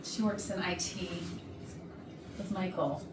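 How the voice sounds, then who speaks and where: A woman's voice: on the low side, fairly even intonation, slow pace. A woman playing a gossipy, catty, flirtatious office worker who confides suggestively to the audience in a comedic stage play. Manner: chatty, suggestive, conspiratorial. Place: indoor rehearsal room. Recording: roomy rehearsal, distant mic, noisy background.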